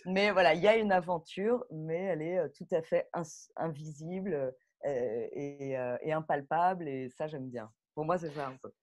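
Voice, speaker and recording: A woman audio fiction director explaining her craft off the cuff in a live online talk, halting and animated as she thinks aloud. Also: sits low. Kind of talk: spontaneous spoken explanation at a live online masterclass. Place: bedroom, on a video call.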